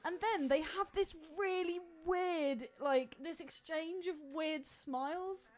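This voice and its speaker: A woman's voice: very high-pitched, slow pace. A woman co-hosting a podcast, chatting casually about films in a giggly, playful way. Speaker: podcast co-host. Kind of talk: casual film chat with banter.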